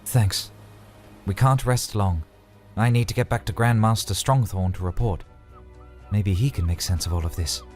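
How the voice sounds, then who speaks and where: A man's voice: fairly low pitch, normal speed, darkish tone, natural voice. Solemn dragonrider commander played by a man, over music. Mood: weary, terse. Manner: formal, commanding speech to his men. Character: solemn, battle-weary male dragonrider commander. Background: background music.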